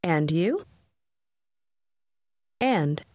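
A woman speaking scripted model phrases for a language course, careful and deliberate. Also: lowish voice, sing-song, mellow, dry recording, studio quality.

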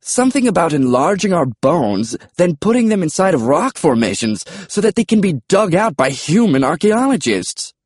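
Man as a prim British flying dinosaur, scholarly, polite, high voice, animated, storybook. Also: moderate pace, few pauses, slightly rough, dry recording, clean studio sound. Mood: earnest, proper. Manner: courteous, prim. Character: polite, scholarly male pterodactyl, mildly put out.

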